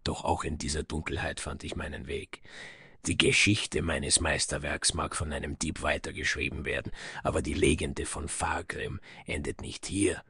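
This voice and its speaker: A man's voice: extremely low pitch, little pitch movement, normal speed, pushed and bright, slightly rough, no room sound, clean studio sound, heavily acted. A man playing a proud, vengeful dwarf smith from the icy north, speaking in solemn, grandiloquent phrases in a fantasy audio story. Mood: defiant.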